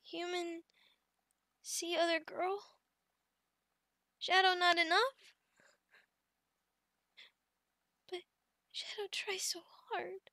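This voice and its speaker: A woman voicing a young adult female goblin in an ASMR roleplay, childlike, sweet, devoted and timid, speaking in short halting phrases of broken third-person speech. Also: very high voice, pushed and bright, dry recording, clean studio sound, exaggerated voice. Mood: anxious, insecure.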